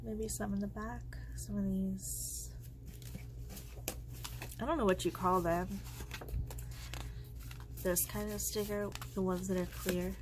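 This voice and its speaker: A woman's voice: mid-range voice, steady tone, slow pace, pauses often, noisy background. A congested woman chatting casually and rambling as she crafts at home, her thoughts often trailing off. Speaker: planner vlogger. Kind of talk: casual chat while crafting.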